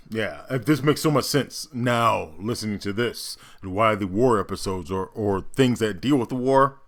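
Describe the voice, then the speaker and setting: A man hosting a reaction video, making casual, animated asides while he watches. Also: on the low side, a little slow.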